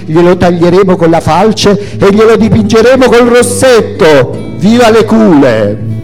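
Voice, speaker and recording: A man acts out a campy feminist activist haranguing a crowd in a storytelling performance. The voice leaps high and loud, imperious and theatrical, and rings through a reverberant hall. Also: animated, unhurried, faint music behind. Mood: triumphant, rallying.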